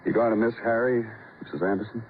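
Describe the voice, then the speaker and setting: A man's voice: medium pitch, fairly even intonation, fast talker, a little hiss or hum, close to an everyday voice. An American man playing a hard-boiled, world-weary police detective in a 1950s noir radio drama, clipped, dramatic and probing. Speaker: detective and narrator. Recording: old radio broadcast, narrow band, loud music.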